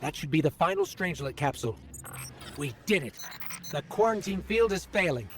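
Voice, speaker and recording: Earnest, lighter-voiced game companion. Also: male voice, fairly high pitch, sing-song, moderate pace. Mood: focused, curious. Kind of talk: scripted game dialogue.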